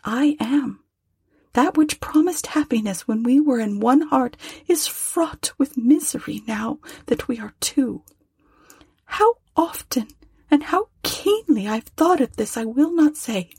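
A woman voicing a young woman who speaks softly and sorrowfully, gentle but firm, close to tears. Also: high voice, lively intonation, takes it slowly, slightly rough, no room sound, voice pushed up, heavily acted. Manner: soft, tearful, pleading.

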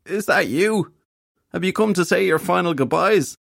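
A hungover half-fairy detective, played by a male narrator, moans with melodramatic self-pity. The voice is weak and croaky, lifted well above a low register into a whine, as in a comic fantasy audiobook. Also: expressive, moderate pace, a bit bright, no room sound, clean studio sound, exaggerated voice. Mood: groaning, self-pitying. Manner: moaning, melodramatic complaining.

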